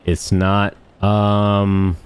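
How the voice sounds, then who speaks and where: Low voiced guy doing gameplay commentary, blunt and kinda crude, rambles a lot, super casual slang. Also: flat delivery, drawn-out words, smooth. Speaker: gaming video host.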